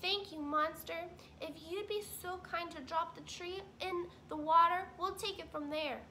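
A woman voicing a practical female beaver who talks briskly and scolds her husband. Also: very high-pitched, fairly even intonation, sharp tone, slight background noise, pitched above the performer's own voice, full character voice.

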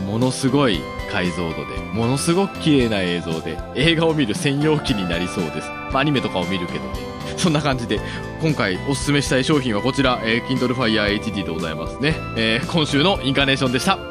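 A man's voice: medium pitch, big pitch swings, quick pace. A man of about thirty chatting casually and with animation as a podcast co-host giving reviews. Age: around 30. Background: music playing behind the talk.

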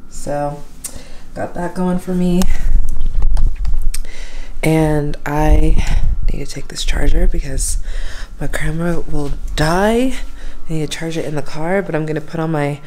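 A woman's voice: deep voice, a little slow, pauses often, a little mellow, a little hiss or hum. A young woman with a stuffy nose chatting casually through her day for a vlog, talking to a handheld camera in her apartment and car. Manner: chatty, rambling, with many fillers. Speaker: vlogger. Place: apartment and then a parked car. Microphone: handheld camera at arm's length.

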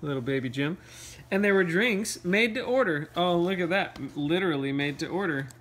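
A man reading a picture book aloud to children, playfully, pausing for casual questions and asides. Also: sits high, animated, fairly bright tone. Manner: playful, pauses to ask questions. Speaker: read-aloud storyteller.

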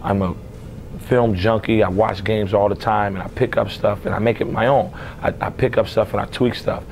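Lowish-voiced, emphatic American man. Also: steady tone, normal speed, a little mellow, slight background noise. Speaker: assistant basketball coach. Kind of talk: on-camera interview about his career. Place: indoor athletics office, seated before camera.

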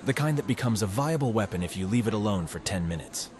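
A man playing a cynical, anxious high school boy, narrating a visual novel in a deadpan, grumbling way. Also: fast talker, a faint crowd, natural voice. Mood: anxious, sardonic. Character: cynical, anxious, foul-mouthed male high school student. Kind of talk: first-person visual novel narration and dialogue.

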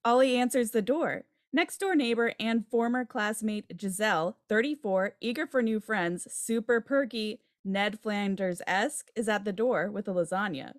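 Close dry sound, mid-pitched woman, scripted narration, stage directions, table read. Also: normal speed, hardly any pauses, dry recording. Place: home room on a video call.

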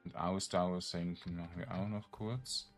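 A man giving casual game commentary, thinking aloud in a halting mutter as he plays. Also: deep voice, fairly even intonation, faint music behind. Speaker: gaming streamer.

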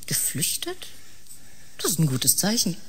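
A woman's voice: fairly low pitch, huge pitch swings, unhurried, fairly bright tone, husky, full character voice. An actress playing a thoughtful, composed female scientist in a science-fiction radio drama.

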